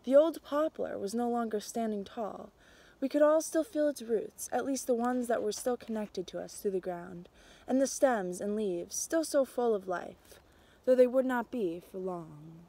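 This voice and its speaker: A young adult woman reading a picture book aloud outdoors, with a measured, expressive storytelling delivery. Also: a little high. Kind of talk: storybook read-aloud, narrated as a tree. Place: outdoors in snowy winter woods.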